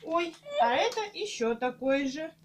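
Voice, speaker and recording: Casting: young mother, high and very animated voice, encouraging child-directed chat, recorded over loud household noise. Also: measured pace. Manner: sing-song, encouraging way of talking to small children. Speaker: mother hosting a children's unboxing vlog. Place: small living room at home. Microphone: handheld camera or phone mic, behind the camera. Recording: noisy home phone-camera recording.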